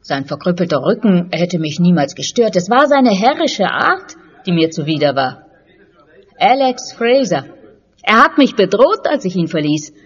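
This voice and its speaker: A woman playing a bitter, wounded mother with a husky voice in a radio play. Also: fairly low pitch, big pitch swings, normal speed. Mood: bitter recollection. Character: bitter, wounded mother recalling a hated lover. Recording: muffled studio recording.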